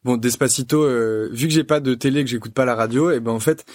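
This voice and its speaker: A man talking things through in a loose, thoughtful ramble, restarting his sentences as ideas come. His voice sits at an ordinary middle pitch and is recorded close on a studio mic. There is no room sound at all. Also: fast, darkish tone, no room sound. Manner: thoughtful, talks in long winding sentences with restarts. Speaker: guest musician. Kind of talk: casual podcast conversation.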